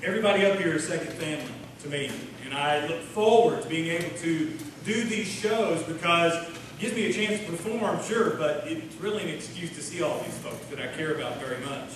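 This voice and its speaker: A man's voice: a little high, fairly quick. A man from Oklahoma with an American accent, hosting a live stage radio show in a sincere, homespun, folksy way. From Oklahoma, USA. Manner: sincere, homespun address to the audience. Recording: echoey hall from far off, noisy.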